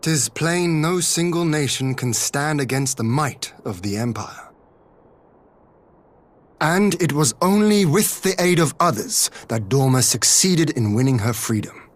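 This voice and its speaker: A man playing an assured, idealistic young lord in a fantasy game, speaking in formal, measured, eloquent phrases. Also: on the high side, animated. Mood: resolute. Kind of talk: scripted fantasy game acting.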